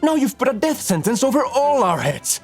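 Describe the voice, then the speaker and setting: A man's voice: very high voice, very animated, moderate pace, creaky, faint music behind, exaggerated voice. A man playing an angry, foul-mouthed spaceship crew member in a sci-fi audio drama, confrontational and hard-bitten. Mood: outraged.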